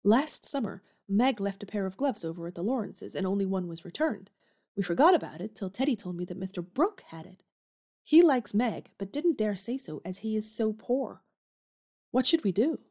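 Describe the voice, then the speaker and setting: A woman playing Jo, a spirited, blunt teenage girl in an amateur audio drama, talking quickly with sudden exclamations. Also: mid-range voice, animated, warm tone, natural voice. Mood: earnest.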